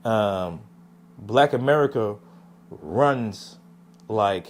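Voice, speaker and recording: A man's voice: fairly low pitch, lively intonation, extremely slow. A young man from Jamaica, a guest on a podcast, talking casually and reflectively, with many fillers and "you know what I'm saying". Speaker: podcast guest, music artist. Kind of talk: casual interview talk.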